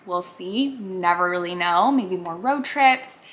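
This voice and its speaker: A woman's voice: lowish voice, lively intonation, measured pace, fairly bright tone. A woman in her twenties chatting casually to camera in a get-to-know-me vlog, bubbly and full of filler words. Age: 20s. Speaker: YouTuber.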